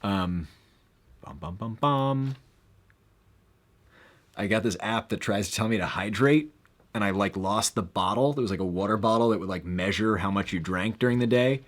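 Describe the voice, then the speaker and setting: Young male streamer, lowish voice, loose rambling delivery, gets excitable. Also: moderate pace, long pauses. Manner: rambling, filler-heavy, excitable. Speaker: live stream host. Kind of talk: casual live stream chat.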